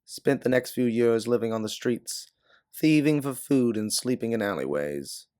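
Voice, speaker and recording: A man's voice: mid-range voice, lively intonation, normal speed, a little mellow, smooth, no room sound, studio quality, close to an everyday voice. A man voicing a weary, candid stranded ex-pilot in a science fiction audiobook. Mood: wistful. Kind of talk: audiobook character dialogue.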